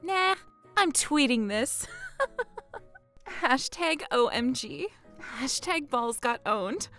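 A woman's voice: high voice, animated, slow pace, pushed and bright, soft background music, heavily acted. A woman voicing a smug villainess with a gleeful, drawling manner in an anime comic dub. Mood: mocking.

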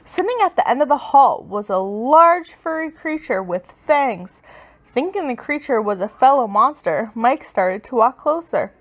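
A woman reading a children's story aloud with expressive, dramatic, emphatic delivery. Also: on the high side, unhurried. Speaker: storybook reader.

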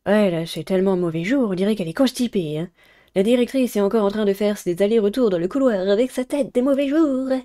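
A woman's voice: medium pitch, fast, dark tone. A young woman, casually commenting on a game and reading its text aloud. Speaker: streamer playing a visual novel.

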